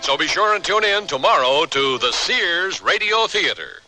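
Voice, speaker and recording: A male radio announcer reads formal scripted copy over clear background music. His voice sits fairly high and moves in lively, swinging intonation. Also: moderate pace.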